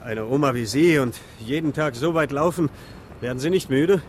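A man's voice: medium pitch, moderate pace, slight background noise, lightly acted. A man playing a polite, curious newspaper reporter in a radio play. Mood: inquisitive. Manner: polite, inquisitive. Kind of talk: 1980s German radio play acting. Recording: radio drama with outdoor street sounds.

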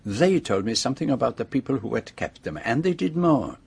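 An actor playing a shrewd, self-assured detective in a radio drama, with a French-speaking accent and precise, theatrical phrasing. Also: male voice, medium pitch, very animated, a little fast, creaky, a little mellow, husky, no room sound, full character voice. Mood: triumphant explaining.

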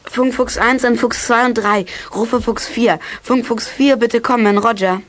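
A woman's voice: on the high side, fairly even intonation, a little slow, slight background noise, close to an everyday voice. A woman playing an eager child detective who leads a club in a youth radio play. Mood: brisk, businesslike.

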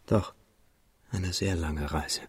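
An actor playing a haunted, guilt-laden young idealist in a radio drama, confessional and intense, with a breathy, husky, creaky voice. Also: male voice, low-pitched, fairly even intonation, slow pace, no room sound, clean studio sound, full character voice. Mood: guarded.

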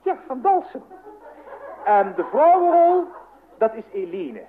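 An actor playing an excitable, bashful young son in a radio play, hesitant, flustered and self-important. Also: male voice, very high voice, takes it slowly, exaggerated voice. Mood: eager, self-important. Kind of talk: Dutch radio play acting. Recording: tinny band-limited old radio recording, noisy.

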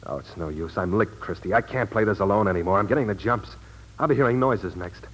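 A haunted veteran in a 1940s radio drama. His low, husky voice is terse and guarded, weary with self-doubt. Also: quick pace, fairly bright tone, low-quality recording. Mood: defeated, weary.

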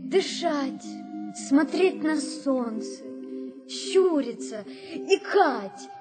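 An eager, childlike girl from a radio play, full of wonder. Her high voice swings widely in pitch and takes its time, with soft music underneath. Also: animated, drawn-out words, exaggerated voice. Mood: questioning. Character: wide-eyed, playful unborn girl, eager for life.

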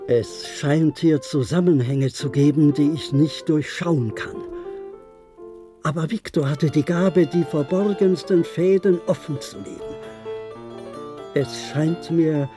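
An actor playing a gentle, lonely old male doctor in a German radio play, speaking in a reflective, halting, unhurried way. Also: a little high. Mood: pensive. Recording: music playing clearly behind the voice.

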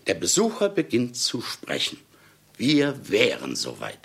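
An older man, an actor in a German radio play, playing a vain, boozy, brusque writer with a gravelly, husky voice and a theatrical, self-mocking manner. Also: mid-range voice, wildly swooping pitch, a little slow, fairly bright tone, heavily acted. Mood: wry, self-amused. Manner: theatrical, self-ironic, blustering, chuckling asides.

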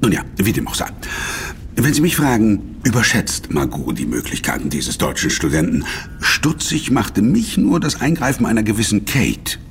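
Animated, low-voiced, obsequious businessman. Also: normal speed, slight background noise. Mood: smug, flattering. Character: obsequious, money-minded businessman bargaining with a secret order. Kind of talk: audio drama acting.

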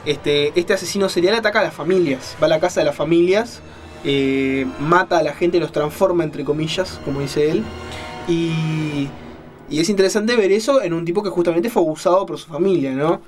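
A man with a slightly high voice talks like a radio reviewer. He explains things conversationally, with frequent little check-in tags, over faint background music. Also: moderate pace. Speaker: radio show host reviewing books and films. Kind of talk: conversational book and film commentary.

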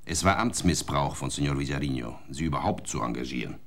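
An actor playing a stern police inspector in a radio drama, talking in curt, commanding questions and warnings. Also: male voice, a bass voice, animated, fast, gravelly, fairly bright tone, slight background noise, heavily acted. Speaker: police interrogator.

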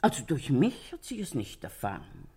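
A woman's voice: a bass voice, huge pitch swings, normal speed, a little mellow, a little hiss or hum, full character voice. An actress playing a chatty, candid female witness in a German radio crime drama. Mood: earnest recounting.

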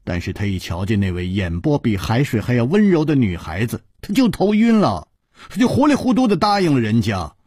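Audiobook part for male reader: pouting, sharp-tongued young woman, husky and scolding. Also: mid-range voice, sing-song, fairly quick, dry recording, studio quality. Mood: sulky, indignant.

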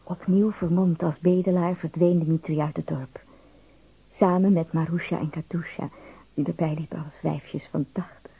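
An actor narrating an adventure tale for a radio play in a husky, creaky voice. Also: male voice, fairly high pitch, fairly even intonation, moderate pace, heavily acted. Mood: measured, suspenseful. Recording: thin phone-like band-limited sound.

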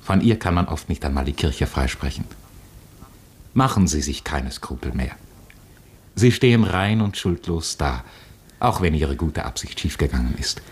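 A radio drama priest, really low husky voice, solemn and gentle. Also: male voice, animated, normal speed, heavily acted. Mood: consoling. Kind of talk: German radio play acting.

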